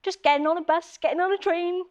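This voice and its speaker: High voiced woman, earnest campaigner type, chatting in an interview. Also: fairly even intonation, fast talker, highs cut off. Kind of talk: conversational studio interview.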